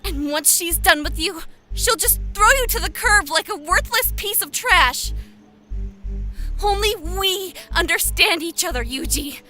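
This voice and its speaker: A woman's voice: very high voice, expressive, a little slow, bright, forceful tone, soft background music, exaggerated voice. A woman playing an emotional, intense anime schoolgirl in an audio drama. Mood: hurt, furious.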